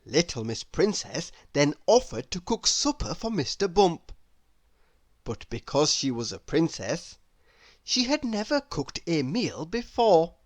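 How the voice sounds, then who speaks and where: A woman reading a children's story aloud in an expressive, deliberate, theatrical way. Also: low voice, no room sound. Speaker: storybook reader.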